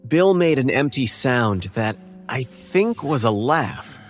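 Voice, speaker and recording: A man's voice: medium pitch, lively intonation, slow. A man narrating a scripted first-person horror story as its narrator. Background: faint music.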